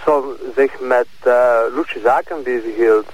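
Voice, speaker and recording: An actor playing a matter-of-fact Flemish police investigator in a radio drama, putting probing questions in a halting way. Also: male voice, mid-range voice, close to an everyday voice, rough recording.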